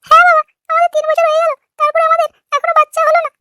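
A man voicing an insistent young wife in a comic cartoon, with a squeaky, artificially pitched-up voice. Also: completely flat delivery, rapid-fire, heavy background noise. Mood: pleading. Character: childless young wife, pleading yet bossy with her husband.

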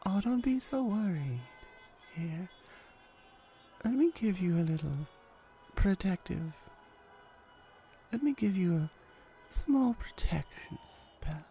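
A woman voicing a protective, flirtatious female hellhound in a roleplay ASMR audio drama, soft, reassuring and teasing. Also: animated, faint music behind. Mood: tender, flirty. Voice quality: low, soft, mellow. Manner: unhurried, reassuring, teasing, sometimes breathy.

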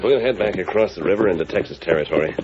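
A man playing a principled, measured, authoritative western hero in 1940s radio style. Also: deep voice, very noisy, lightly acted. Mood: resolute. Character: commanding, principled masked cowboy hero. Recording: muffled old radio broadcast, music behind.

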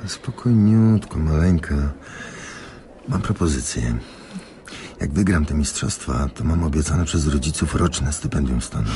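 An actor playing a smug, cynical rich chess player in a radio drama, condescending and smooth-talking. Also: male voice, a bass voice, animated, unhurried, dark tone, a little hiss or hum.

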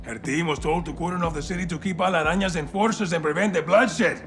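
An actor playing a fiery, principled special forces commander in a video game, intense and emphatic, with a Mexican accent. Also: male voice, on the high side, quick pace, a bit bright. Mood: bitter accusation. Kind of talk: video game cinematic acting. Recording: music behind.

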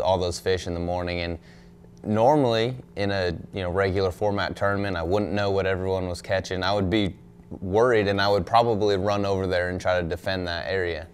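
A young man, a pro angler, answering questions at a press conference in a relaxed, thoughtful way, full of "you know" and "um". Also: low-pitched, brisk, a little hiss or hum. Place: indoor press room in front of a backdrop. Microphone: desk microphone on a stand.

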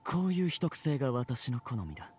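A man's voice: medium pitch, big pitch swings, fairly quick, darkish tone, breathy edge. A man playing an elegant, teasing pirate captain in a game, polite, theatrical and self-assured. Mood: smug, elegant. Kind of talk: Japanese game character voice acting.

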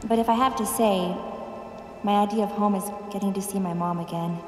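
An actress playing a frightened, despairing young castaway in a reverberant horror audio drama. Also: female voice, mid-range voice, fairly even intonation, fairly quick, faint music behind, natural voice. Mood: wistful.

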